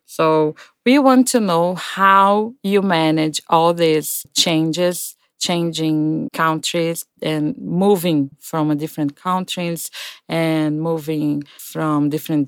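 A woman interviewer with a clear, smooth voice and a slightly low pitch. She asks polite, measured questions and laughs easily. She speaks slowly, in a dry, close sound. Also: no room sound.

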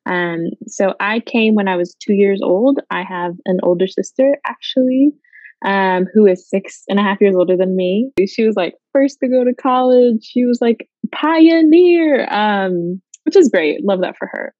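A woman's voice: medium pitch, lively intonation, measured pace, darkish tone, dry recording, highs cut off. A young woman from Guatemala, chatting in an interview with many fillers and easy laughs. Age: young adult. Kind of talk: casual interview.

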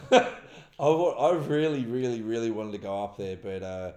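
An Australian man co-hosting a casual sports podcast, husky from yelling at a game, swearing freely. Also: fairly low pitch, animated, unhurried. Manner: swears freely, laughs a lot. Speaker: podcast co-host. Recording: roomy echo.

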